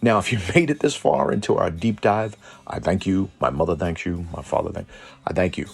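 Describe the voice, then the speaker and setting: A man hosting a lore deep dive in a colloquial, irreverent way, part scripted commentary and part casual aside. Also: brisk, creaky, husky. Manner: colloquial, irreverent, mildly profane. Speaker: host of a cryptid and tabletop lore channel.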